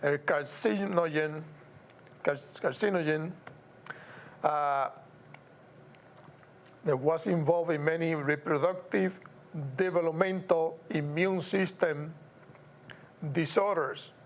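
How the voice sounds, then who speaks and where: A Puerto Rican man hosts his own talk show and speaks at an unhurried, deliberate pace. His voice sits a little high for a man, and he stresses points by repeating words. A faint hiss of noise runs under the recording. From Puerto Rico. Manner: measured, emphatic, repeats words and stumbles over some. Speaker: show host. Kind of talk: slow, deliberate solo commentary.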